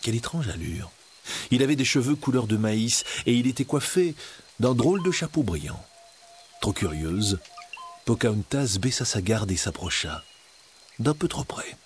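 A man narrating a storybook tale in an expressive, scripted reading. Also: medium pitch, normal speed, a little hiss or hum.

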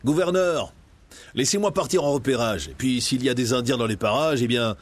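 A man's voice: medium pitch, animated, a little fast, close to an everyday voice. A man doing an eager young explorer captain.

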